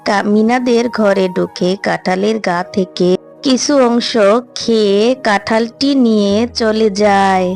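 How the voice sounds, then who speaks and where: A woman's voice: medium pitch, steady tone, unhurried, creaky, darkish tone. A woman narrating a scripted cartoon fairy tale as a storyteller. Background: background music.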